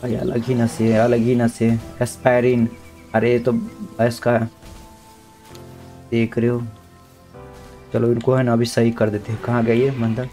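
A young man with a sore throat giving chatty, slangy let's-play commentary, now and then shouting at the game characters. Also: mid-range voice. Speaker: gaming YouTuber.

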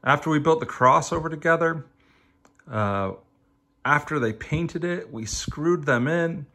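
A middle-aged man giving a reflective personal review, in short emphatic phrases with rhetorical questions and halting pauses. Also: fairly high pitch, expressive, sharp tone. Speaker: audio hobbyist reviewer.

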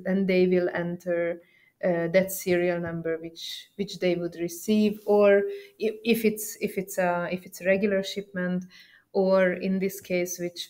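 Female software trainer, lower register, conversational explainer with hesitant phrasing. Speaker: software trainer presenting a demo.

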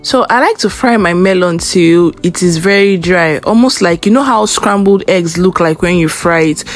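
A young woman with a Nigerian English accent talks through her cooking as she goes. Her voice sits a little low, and she is chatty and instructive, slipping in loose asides along the way. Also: normal speed, faint music behind. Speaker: cooking vlogger. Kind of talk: casual cooking voice-over.

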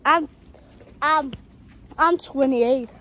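A woman's voice: high-pitched, extremely slow, fairly bright tone, rough recording. A woman chatting playfully in a casual vlog, rambling and stumbling over her words. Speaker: vlogger.

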